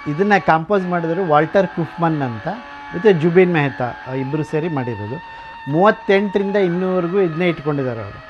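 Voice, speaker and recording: A man's voice: a little high, brisk, dull audio, over music. A man giving an informal explanatory tour as a museum owner, mixing English phrases into his speech.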